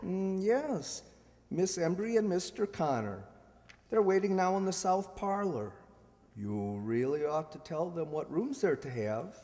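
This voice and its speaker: Radio play ex-husband, male actor: suave, courtly, teasing, animated pitch, reverberant hall. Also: measured pace. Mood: breezy, teasing. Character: suave, teasing, gentlemanly upper-class ex-husband. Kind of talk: stage-style radio play acting. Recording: echoey hall, stage radio performance.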